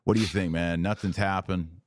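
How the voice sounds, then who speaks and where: A man with a low voice hosts a podcast in a casual, conversational style. He sounds informal and enthusiastic. The sound is dry, with very little room on it. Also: fairly even intonation, brisk, dry recording. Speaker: podcast host. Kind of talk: casual chat.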